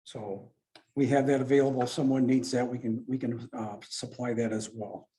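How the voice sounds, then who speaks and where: A man giving an informal explanatory presentation as a club speaker, in a halting manner with repeats and self-corrections. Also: medium pitch, fairly even intonation, mellow. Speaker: club presenter explaining radio contest rules. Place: club meeting room with a few people present.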